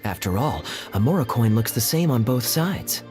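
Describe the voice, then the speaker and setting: Casting: male actor as assertive young game character, mid-pitched, expressive, breezy and pointed. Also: moderate pace, soft background music, close to an everyday voice. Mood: brisk, businesslike. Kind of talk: acted video game dialogue.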